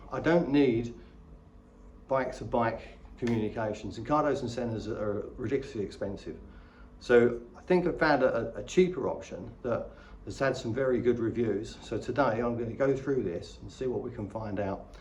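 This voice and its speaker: A man's voice: medium pitch, moderate pace, a little mellow, dull audio, a little hiss or hum. A middle-aged man giving a casual, chatty, plain-spoken product review as a vlogger. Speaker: vlogger reviewing motorcycle gear. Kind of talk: casual unboxing and review talk.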